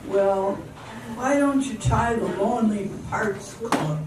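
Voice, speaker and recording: An amateur actress playing a sassy, sceptical young dancer in a radio drama. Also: female voice, medium pitch, takes it slowly, close to an everyday voice. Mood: sassy, brushing off. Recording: very echoey, noisy hall, distant mic.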